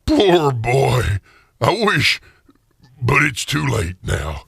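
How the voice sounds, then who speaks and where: Gravelly old miser, raspy and excitable. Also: male voice, mid-range voice, very slow, no echo, clean studio sound, heavily acted. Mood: wistful, regretful. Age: older. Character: emotional old male miser softened by remorse. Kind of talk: audio drama acting.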